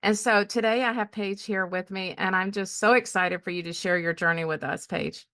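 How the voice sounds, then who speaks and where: A woman's voice: medium pitch, steady tone, moderate pace, no echo, clean studio sound. A woman hosting a podcast as a life coach, encouraging and curious with her guest. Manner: warm, encouraging, lots of backchannel. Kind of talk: casual interview chat.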